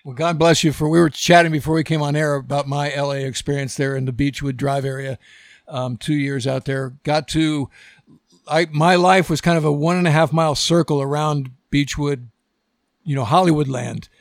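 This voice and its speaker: A man's voice: on the high side, fairly even intonation, normal speed, no room sound, clean studio sound. A man hosting a podcast, asking his guest questions in a casual, conversational way. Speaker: podcast host. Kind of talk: podcast interview.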